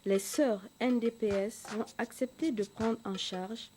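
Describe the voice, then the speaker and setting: A woman's voice: a little high, unhurried, a little mellow. A woman reading scripted documentary narration as a narrator. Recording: music under the voice.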